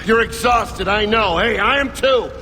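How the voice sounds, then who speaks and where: An actor playing a steady male military squad lieutenant in a video game, commanding and earnest. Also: high-pitched, measured pace, a little hiss or hum. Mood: urgent, commanding. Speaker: squad leader. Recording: music behind the voice.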